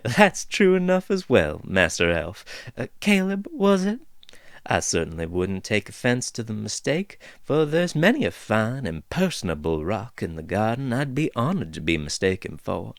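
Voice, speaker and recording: A man's voice: on the low side, very animated, normal speed, no echo, clean studio sound. A man voicing a chatty female dwarf who rambles in a folksy drawl, in a storybook reading. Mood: chirpy, rambling. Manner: meandering, drawling, folksy turns of phrase.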